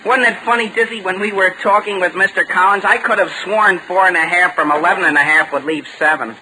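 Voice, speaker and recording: A man playing an earnest, excitable teenage boy with an American accent and a stammering delivery, in 1940s radio comedy style. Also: sits high, a little fast, natural voice. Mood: eager, boastful. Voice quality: youthful. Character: earnest, flustered teenage boy, bumbling schemer. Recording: noisy vintage 1940 radio recording.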